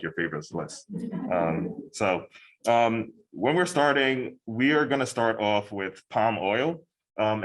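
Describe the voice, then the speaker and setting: A man's voice: on the low side, a bit bright. A man leading a hands-on cooking demonstration, upbeat and encouraging, with frequent fillers as he explains each step. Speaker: cooking instructor and hot sauce maker.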